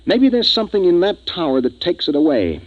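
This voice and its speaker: An intense American starship captain, lively delivery. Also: male voice, high voice, fairly quick, dull audio. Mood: urgent, decisive. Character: decisive, brooding male spaceship captain narrating his expedition. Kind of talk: 1950s radio drama acting and narration. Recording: 1950s radio drama recording.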